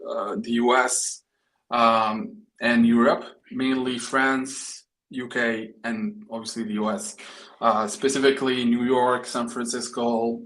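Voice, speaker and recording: Guy from morocco, medium pitch, being interviewed, kinda halting and repeats words as he explains stuff. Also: a bit bright, low-quality recording. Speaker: interview guest, startup co-founder and engineering head. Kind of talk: conversational interview answers.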